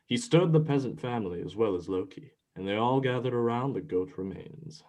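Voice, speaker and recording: A man's voice: mid-range voice, expressive, a little fast, mellow. A man reading scripted narration for a dramatized myth as its storyteller.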